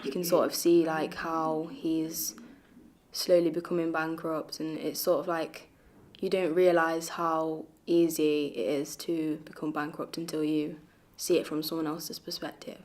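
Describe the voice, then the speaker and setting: A woman's voice: low voice, level tone, a little slow, darkish tone. A young woman giving casual interview feedback as a workshop participant.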